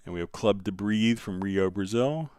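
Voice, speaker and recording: A man hosting a casual live software tutorial, answering viewer questions in a conversational, step-by-step way. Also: lowish voice, moderate pace, smooth, dry recording, clean studio sound. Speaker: livestream host and software instructor.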